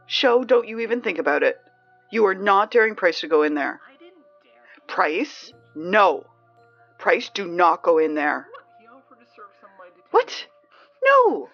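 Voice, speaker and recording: A woman playing a flustered, bossy high school girl in an audio drama, halting and easily exasperated, heard over a baby monitor. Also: gravelly, full character voice. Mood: exasperated, alarmed. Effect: baby monitor transmission. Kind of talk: naturalistic audio drama acting. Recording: dull baby-monitor sound with music.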